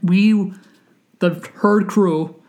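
Young guy hosting a podcast, high voice, rambly and earnest, keeps restarting. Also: fairly even intonation, very slow, darkish tone. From Wisconsin, United States. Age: 20s. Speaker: podcast host. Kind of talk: casual chat.

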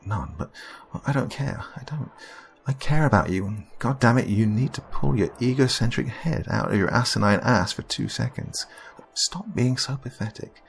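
A man's voice: mid-range voice, moderate pace, gravelly, hardly any pauses, faint music behind, pitched above the performer's own voice, close to an everyday voice. A man voicing an angry, caring young woman who is blunt and pleading in an audio story.

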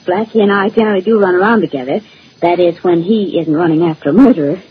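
A woman's voice: lowish voice, fast, lightly acted. A woman playing a polite, eager young lady in a 1940s-style radio drama. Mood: cheerful, polite. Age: young adult. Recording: muffled, hissy old radio recording.

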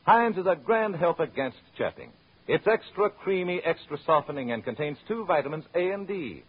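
A man's voice: a little high, lively intonation, normal speed, slightly rough. An American man delivering a scripted 1930s radio commercial as an announcer, in a friendly, direct sales style. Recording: muffled vintage radio recording.

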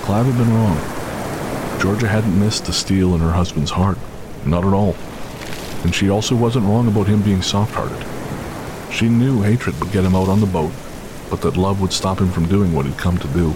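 Deep-voiced grave male narrator. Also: moderate pace, background noise. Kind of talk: scripted narration. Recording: loud music bed under voice.

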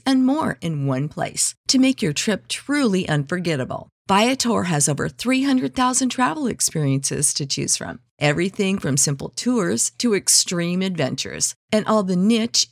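A woman giving an upbeat, smooth scripted ad read. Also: medium pitch, no echo, clean studio sound. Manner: upbeat, smooth sales delivery. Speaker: ad announcer.

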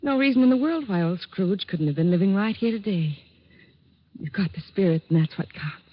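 An actress playing an admiring, affectionate secretary in a 1950s radio drama, with a husky voice. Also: female voice, medium pitch, expressive, brisk, muffled recording. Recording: band-limited old radio recording.

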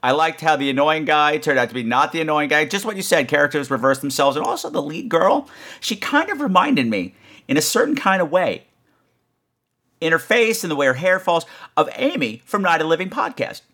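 A man's voice: a little high, sing-song, fairly quick, sharp tone. A man hosting a horror podcast in a casual, campy, exclamatory way, peppering his chat with profanity. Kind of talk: casual chat responding to listener voicemails.

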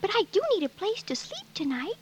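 A woman's voice: very high-pitched, very animated, fairly quick, noisy background. An actress playing a sweet, timid young princess in 1940s radio style, breathless and childlike in her exclamations. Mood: sweet, delighted. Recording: vintage 1940s radio recording, orchestral music behind.

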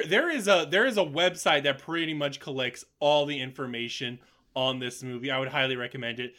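A man co-hosting a podcast, discussing films casually and haltingly as he thinks aloud. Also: medium pitch, pushed and bright. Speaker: podcast co-host.

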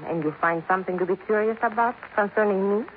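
Actress as sly Spanish-accented young widow, thin radio sound. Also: female voice, medium pitch, fast talker, narrow, tinny audio, lightly acted. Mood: coolly challenging. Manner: poised, teasing, formal. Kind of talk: 1950s radio drama acting. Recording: thin 1950s radio recording, slight noise.

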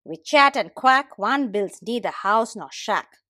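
A woman's voice: on the high side, expressive, unhurried, sharp tone, dry recording, clean studio sound, exaggerated voice. A woman voicing a companionable, practical pig in a folktale, blunt and emphatic. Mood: practical, challenging.

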